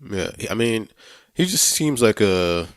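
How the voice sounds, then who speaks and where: A man co-hosting a film podcast, giving his opinion casually in a measured, hesitant way. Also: fairly low pitch, very animated, clean tone, dry recording, studio quality.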